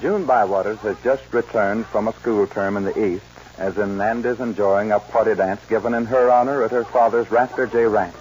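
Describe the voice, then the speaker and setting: A man's voice: lowish voice, steady tone, normal speed, hardly any pauses, darkish tone. A man announcing and narrating a radio western in a scripted 1940s style. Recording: dull old radio recording, music behind.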